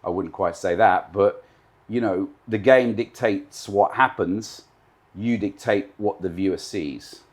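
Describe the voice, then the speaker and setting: Low-ish voice, brit-raised guy in his 50s, tv director, confident, stacks his points in lists. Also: unhurried. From Britain. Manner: confident, emphatic, builds points in repeated lists. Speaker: guest, live TV director. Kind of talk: interview answers.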